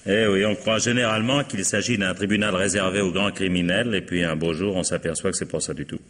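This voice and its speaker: An actor playing a courteous, resigned middle-aged widower accused of murder, in 1950s French radio drama style, with a husky voice. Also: male voice, deep voice, fairly even intonation, fairly quick, a bit bright, big-room sound. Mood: weary, resigned. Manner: polite, resigned, wry.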